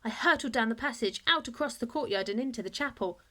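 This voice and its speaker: A woman reading a children's story aloud in expressive narration, like a teacher to a class. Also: fairly high pitch, quick pace.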